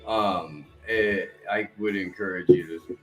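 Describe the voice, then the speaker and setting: Low-voiced swearing man, echoey room. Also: fairly even intonation, measured pace, soft background music. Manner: profane, laid-back, rambling. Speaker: podcast host. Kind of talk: casual podcast chat.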